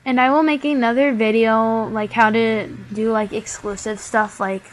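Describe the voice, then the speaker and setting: A woman's voice: a little high, steady tone, normal speed, rough recording. A young woman, stuffy from a cold, chattily talking through a bead craft tutorial step by step. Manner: chatty, many "like" fillers.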